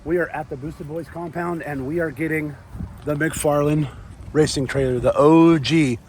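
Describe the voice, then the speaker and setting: A man hosting his vlog with a hoarse, worn-out voice, chatting excitedly about a new trailer. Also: fairly high pitch, moderate pace, a little hiss or hum. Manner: excited, enthusiastic, loose and chatty. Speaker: vlog host. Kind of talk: casual vlog narration. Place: outdoors at a trailer lot.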